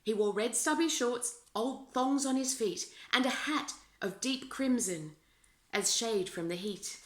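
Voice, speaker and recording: A woman reading rhyming children's verse aloud as an expressive storyteller. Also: on the high side, a little slow. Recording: roomy, echoing indoor sound.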